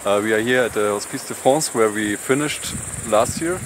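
A young man from Germany talking to his phone camera outdoors in the mountains, in a relaxed, halting way. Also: lowish voice, noisy background. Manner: relaxed, halting, plain-spoken. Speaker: hiking vlogger. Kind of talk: casual vlog narration. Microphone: phone at arm's length.

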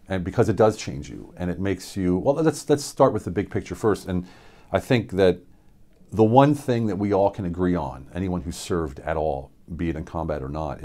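A middle-aged man from Pennsylvania, a military veteran, gives an unscripted personal testimony in a studio, earnest and reflective, with many halting fillers and restarts. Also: low-pitched, mellow. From central Pennsylvania, USA. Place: indoor studio with dark backdrop.

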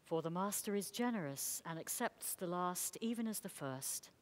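A woman's voice: lowish voice, lively intonation, moderate pace. Woman solemnly reading a homily. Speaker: clergy reader.

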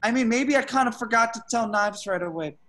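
Man acting young male slacker hero, earnest, halting, excitable, comic-book movie table read. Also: very high-pitched. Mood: flustered. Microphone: video call.

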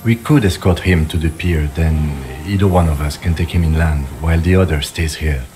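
Male police lieutenant, game role: very low voice, composed, procedural, dutiful, dry. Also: normal speed, a little mellow, faint music behind. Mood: calm, practical. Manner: formal, procedural, matter-of-fact.

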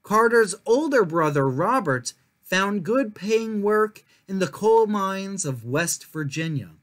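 A young man reading a children's picture book aloud as a storyteller, in measured, clearly phrased narration. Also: high voice, big pitch swings, a bit bright. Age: young adult. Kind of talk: scripted narration, story time read-aloud.

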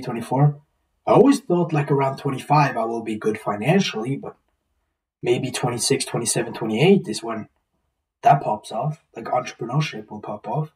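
Young man, rambling vlog talk, repeats himself, mid-pitched, heard from a little way off the mic, small room. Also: darkish tone, distant mic, poor audio. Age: 20s. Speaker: vlogger. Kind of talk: reflective casual monologue. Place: small plain indoor room.

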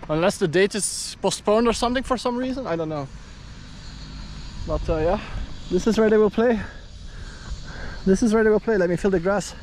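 A man's voice: high-pitched, moderate pace, pauses often, a little mellow, slight background noise, rough recording. A man in his thirties from Belgium, chatting casually as a travel vlogger while walking around, laughing often. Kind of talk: casual vlog narration. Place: outdoor stadium and its corridors. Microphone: action camera held at arm's length.

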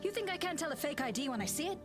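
A brisk patrol officer, helpful on duty but quick to snap. Her bright, lively voice bounces over game music. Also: sits high. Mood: brisk dutiful. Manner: brisk, dutiful, snappish. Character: brisk sharp-tongued female patrol officer. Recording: game audio with music behind.

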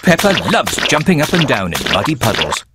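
A man giving gentle, plain scripted narration for a children's cartoon. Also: mid-range voice, wildly swooping pitch, a little fast, music in the background. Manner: gentle, storybook-plain delivery.

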